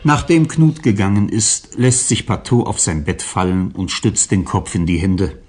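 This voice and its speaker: A man's voice: lowish voice, moderate pace, a little mellow, slight background noise. A man narrating a radio drama as a scripted, dramatic storyteller.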